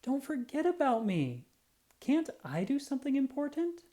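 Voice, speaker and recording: Woman voicing an eager little storybook truck, high-pitched. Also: normal speed. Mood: pleading. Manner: pleading, excitable. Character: eager little male pickup truck, longing to help.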